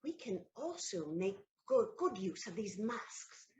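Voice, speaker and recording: A woman playing a romantic, scheming countess in a stage comedy audio play, dramatic and exclamatory with hesitant pauses. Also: medium pitch, sing-song, darkish tone. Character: theatrical noblewoman countess, scheming, romantic, betrayed wife.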